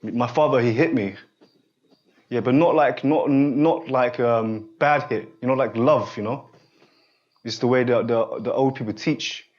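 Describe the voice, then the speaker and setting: A man in his forties talks a student through a guidance session at a middle pitch, in halting, repetitive sentences. He keeps stopping to check that the listener follows. The recording sounds dull and lo-fi. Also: a little mellow. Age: 40s. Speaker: holistic therapist guiding a student. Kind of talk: unscripted one-on-one guidance session.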